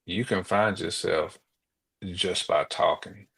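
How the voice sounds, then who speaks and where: A man with a deep voice chats casually as a podcast co-host. He reasons his way through points out loud, often doubling back on his words in a conversational style. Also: steady tone, slow pace, gravelly, husky.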